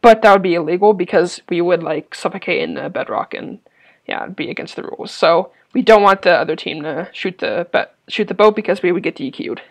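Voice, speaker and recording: A man's voice: high-pitched, fairly quick, creaky. A young man casually explaining a game glitch over his gameplay, hesitating often with ums and restarts. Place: at a computer.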